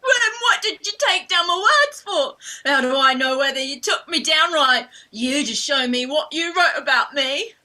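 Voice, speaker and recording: Cheeky, whining young street flower seller, stage play, high and very sharp-edged. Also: female voice, expressive, normal speed, hardly any pauses, heavily acted. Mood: wailing, protesting. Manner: whining, wailing, ungrammatical street talk. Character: poor young flower girl, cheeky, loudly wounded. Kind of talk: theatrical staged play reading.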